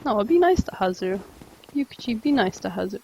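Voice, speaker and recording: A man's voice: high voice, very animated, brisk, creaky, darkish tone, slight background noise, rough recording. A man with a general Australian accent, giving casual live-stream commentary while playing and reading chat aloud with wry, dry remarks. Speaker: game streamer. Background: game music.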